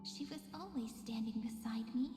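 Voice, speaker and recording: A woman voicing a gentle, wistful young female guide in a game story, speaking tenderly and softly, often close to a whisper. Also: on the high side, close to an everyday voice. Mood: wistful, solemn. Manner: slow, tender, measured storytelling. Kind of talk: scripted game story voice acting. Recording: echoey long reverb, loud music behind.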